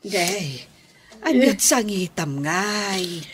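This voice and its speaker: A woman's voice: medium pitch, animated, extremely slow, crowd noise. A woman with an Ilocano Filipino accent playing a caring, reassuring household helper in a radio drama.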